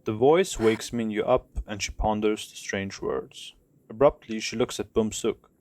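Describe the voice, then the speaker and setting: A man's voice: fairly low pitch, a little slow, a little mellow. A man narrating an audio drama from a script, telling a story in the present tense.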